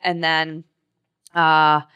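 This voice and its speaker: Podcast host, woman, low smooth voice, animated and profane. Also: very slow, dull audio. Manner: animated storytelling with swearing. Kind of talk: casual chat.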